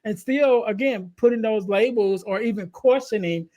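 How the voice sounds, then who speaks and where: A soft-voiced man hosting a podcast, talking in an animated, casual conversational way. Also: high voice, moderate pace. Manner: animated, frequent "you know what I mean". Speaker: podcast host.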